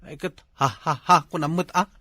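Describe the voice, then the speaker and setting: A man's voice: fairly high pitch, steady tone, moderate pace, pushed and bright, husky, dry recording. A man playing a teasing village husband in a comedy drama, with an Ilocano accent.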